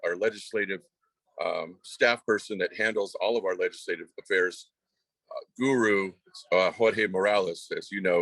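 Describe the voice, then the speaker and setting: A man with a very low voice delivers friendly welcome remarks as president, rambling and restarting his phrases often. He joins over a video call from a family home, and the sound is close and dry. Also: no echo, low-quality recording. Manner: warm, rambling, with repeated restarts. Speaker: association president. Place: family home, away on travel.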